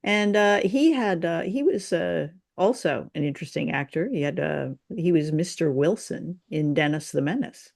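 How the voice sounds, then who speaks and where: A woman's voice: on the low side, lively intonation, normal speed, creaky, hardly any pauses, darkish tone. A woman co-hosting a review show in casual chat, informal and wry with a teasing streak. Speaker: co-host of a radio drama review show.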